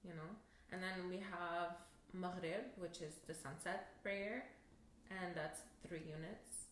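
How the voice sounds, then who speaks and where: Casual podcast chat from a young woman with a lowish voice, recorded in an echoey room. She laughs easily and talks with plenty of fillers and restarts. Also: steady tone, slow pace, a little hiss or hum. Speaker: podcast co-host.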